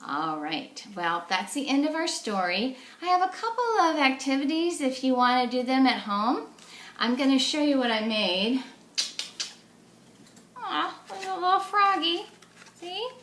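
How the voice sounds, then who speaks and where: A woman speaks slowly in a roomy, echoing space, in the style of a teacher at children's story time. She explains things in simple words and puts little questions to her young listeners as she reads. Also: high-pitched, sing-song, a bit bright. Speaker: children's teacher reading stories. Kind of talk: animated storybook read-aloud for young children.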